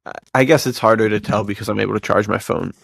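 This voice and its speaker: A man's voice: fairly low pitch, quick pace, gravelly, a bit bright, no room sound, clean studio sound. A man chatting casually as a podcast co-host, with a slangy, self-deprecating way of talking. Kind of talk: casual chat.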